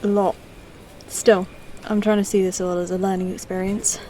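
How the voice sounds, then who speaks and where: A woman playing a courteous, curious old-model android in a sci-fi audio drama, speaking in a measured, polite way. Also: mid-range voice, level tone, warm tone, slight background noise, natural voice, low-quality recording. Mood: reflective, fond.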